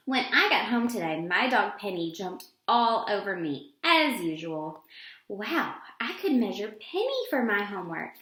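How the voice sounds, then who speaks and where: A woman's voice: mid-range voice, sing-song, unhurried, fairly bright tone. A woman voicing an eager, playfully bossy schoolgirl in a children's storybook read-aloud. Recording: roomy, echoing sound.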